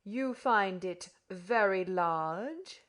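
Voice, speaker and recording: A woman's voice: fairly high pitch, big pitch swings, fairly bright tone, exaggerated voice. A woman playing a pompous condescending Englishman. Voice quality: pompous, slow, over-explaining. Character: pompous condescending middle-aged Englishman host.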